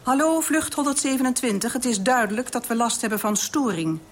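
An actress playing a sceptical, businesslike air traffic controller in a radio drama, speaking in a crisp, formal way. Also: female voice, on the high side, animated, a little fast. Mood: brisk, official.

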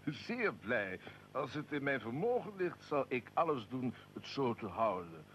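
An actor playing a shrewd Serbian army colonel in a radio drama, courtly and insinuating with a feigned casualness. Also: male voice, mid-range voice, very animated, a little slow, a bit bright, a little hiss or hum. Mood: courteous. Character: shrewd Serbian army colonel, smooth conspirator recalling his plot.

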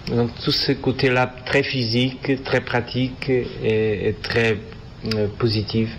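A man from Portugal speaking with a Portuguese accent as an interview guest, halting and searching for words in a non-native language. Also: mid-range voice, level tone. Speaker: interview guest, animation filmmaker. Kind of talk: casual interview answers. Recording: festival interview with background noise.

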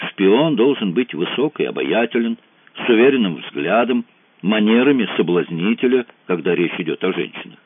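A man's voice: on the low side, big pitch swings, normal speed, no echo. A man reading the lines of a dry-witted, ironic man in an audiobook. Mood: wry. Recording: dry, narrow phone-like sound.

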